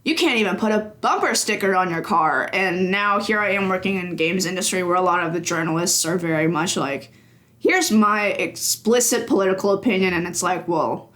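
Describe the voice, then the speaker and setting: A woman's voice: lowish voice, moderate pace, a bit bright. A woman in her twenties, a games journalist guest, talking casually with many fillers and frequent laughs. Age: 20s. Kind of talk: casual conversation.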